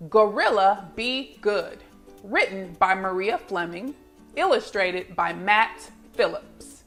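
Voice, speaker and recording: A woman teacher giving a read-aloud lesson for young children, with clear instructional delivery and expressive storybook narration. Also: medium pitch, slow, soft background music.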